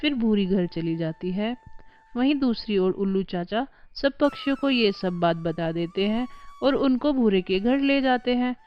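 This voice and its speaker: A woman's voice: mid-range voice, lively intonation, normal speed, muffled recording, soft background music. A woman narrating a scripted cartoon story for children.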